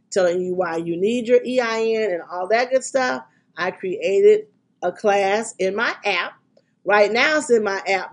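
A middle-aged woman hosting a podcast, talking in a chatty, excitable way with frequent ums, her voice congested from a cold. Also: medium pitch. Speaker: podcast and radio host. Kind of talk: casual podcast chat.

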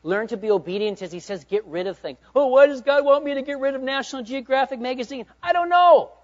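High-voiced preaching man, lo-fi. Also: quick pace. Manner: emphatic preaching, swinging between loud urging and hushed asides. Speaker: pastor. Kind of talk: sermon. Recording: dull lo-fi sound.

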